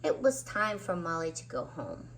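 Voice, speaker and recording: A woman's voice: fairly low pitch, big pitch swings, normal speed, a little hiss or hum, low-quality recording. A woman hosting story time, narrating a children's book expressively with dramatic emphasis on words. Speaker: children's story reader and host.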